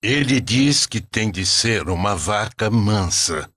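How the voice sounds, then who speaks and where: A man's voice: on the low side, expressive, slow pace, a bit bright, no echo, heavily acted. A man voicing a solemn, patient, commanding prophet in a storybook cartoon.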